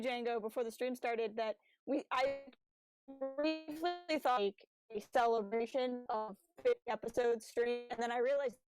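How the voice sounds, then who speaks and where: A woman's voice: fairly high pitch, darkish tone, dry recording, rough recording. A woman co-hosting a casual livestream fan chat, talking in a chatty, informal and slangy way. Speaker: podcast co-host.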